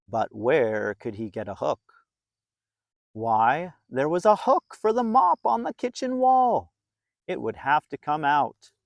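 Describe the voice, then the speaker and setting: Animated, slow-paced man reading a children's storybook. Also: on the high side, creaky, no room sound, dull audio. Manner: expressive, deliberate, drawn-out phrasing for young listeners. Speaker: storybook reader.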